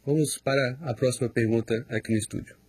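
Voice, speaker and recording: Husky male host moving things along. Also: mid-range voice, fairly even intonation, brisk, a little mellow, dry recording. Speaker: program host. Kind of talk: hosting a studio Q&A.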